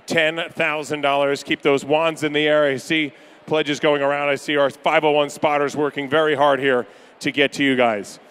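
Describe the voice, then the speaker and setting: A man calling pledges at a charity gala in a banquet room, energetic and upbeat with rapid-fire banter to the crowd. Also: fairly high pitch, clean tone. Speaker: charity auctioneer. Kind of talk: live fundraising pledge calling.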